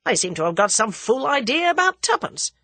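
A woman voicing an impulsive, blustering young American man who talks loudly in slang. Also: a little high, brisk, dry recording, pitched above the performer's own voice, heavily acted. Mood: agitated. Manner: loud, slangy, excitable.

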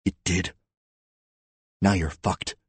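A man's voice: low voice, fairly even intonation, slow pace, slightly rough, no echo, full character voice. A man voicing a scornful, coldly relentless female police detective who is sardonic and confrontational, in an audiobook story.

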